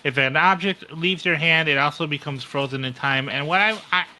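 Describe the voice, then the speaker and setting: Bright, higher-pitched man at game table. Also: fairly quick, a little hiss or hum. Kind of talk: tabletop roleplay table talk, explaining rules.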